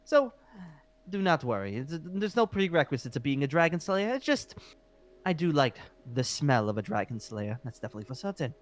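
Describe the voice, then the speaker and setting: Guy doing polite ancient guild leader in a fantasy roleplay, kinda high voice, flustered, hesitant, really animated. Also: a little fast. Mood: welcoming. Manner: hesitant, apologetic, placating. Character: polite, flustered centuries-old dragon slayer guild leader. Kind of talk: improvised fantasy roleplay acting.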